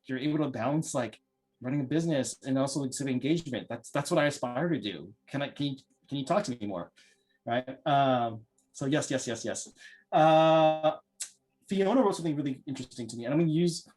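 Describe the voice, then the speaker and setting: A man's voice: mid-range voice, a little mellow, husky, rough recording. A man leading an orientation discussion, enthusiastic and encouraging, often repeating himself. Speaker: orientation facilitator. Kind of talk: casual group discussion.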